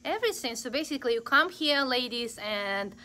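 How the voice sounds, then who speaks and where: A woman's voice: on the high side, expressive, fairly quick, bright, forceful tone, slight background noise. A young woman with a Southern American accent, enthusiastically interviewing a shop owner on a phone camera in a large store. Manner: enthusiastic, gushing praise. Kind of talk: casual live store tour interview. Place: large indoor clothing boutique. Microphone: handheld phone camera.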